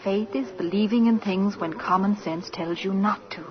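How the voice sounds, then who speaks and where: A woman's voice: medium pitch, normal speed, crowd noise, natural voice. An actress in a 1940s radio play voicing a caring, sensible mother, earnest and tender, on a dull old broadcast recording.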